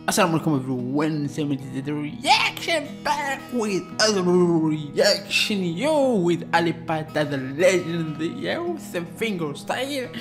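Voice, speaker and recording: A man from Algeria speaks in slow, halting English with an Algerian accent and a fairly high voice, full of restarts and excited exclamations. He talks close to a microphone in a small room. Faint guitar music plays under him. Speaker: reaction channel host. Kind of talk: casual music reaction commentary. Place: small room with dark blue backdrop. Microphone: studio mic just in front of face. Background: guitar music playing.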